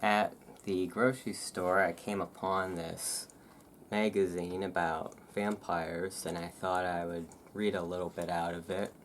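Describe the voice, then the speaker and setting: A host reading aloud in a relaxed ASMR style, with informal, self-deprecating asides and rain and thunder behind. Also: low-pitched, a little slow. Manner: informal, self-deprecating, stumbles over foreign words. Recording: clear background noise of rain and thunderstorm. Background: rain and thunderstorm ambience.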